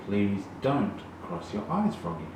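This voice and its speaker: A man voicing a brisk, stern female animal doctor in a storybook, giving commands and instructions. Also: fairly low pitch, sing-song. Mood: instructing. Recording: very echoey, distant, noisy room.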